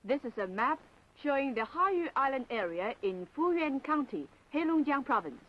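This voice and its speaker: A woman's voice: high-pitched, big pitch swings, moderate pace. A woman narrating a scripted propaganda documentary in a formal, emphatic, declamatory style. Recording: dull 1960s film soundtrack.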